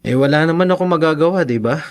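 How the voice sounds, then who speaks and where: Actor as a sulky young boyfriend. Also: male voice, fairly high pitch, quick pace, lightly acted. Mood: disappointed, sulky. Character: eager, disappointed young boyfriend. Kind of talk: Tagalog radio drama acting.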